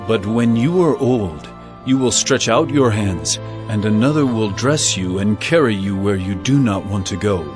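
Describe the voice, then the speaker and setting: A calm male teacher from a scripture drama, tender but full of authority. His delivery is solemn and deliberate, with an expressive, moving pitch. Music plays clearly behind him. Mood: solemn, commanding.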